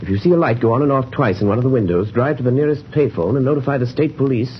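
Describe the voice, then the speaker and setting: A man playing a calm, protective gentleman sleuth in an old-time radio drama. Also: medium pitch, very fast, natural voice. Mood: calm, assured. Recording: dull old radio recording, faint noise.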